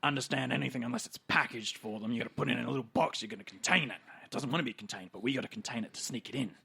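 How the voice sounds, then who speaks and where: Man playing a gravelly, excitable punk radio host. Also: mid-range voice, rapid-fire, heavily acted. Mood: rambling. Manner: excitable, profane, stammering repetitions. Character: eager, rambling, drugged-up punk male radio host. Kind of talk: improvised in-character tabletop roleplay.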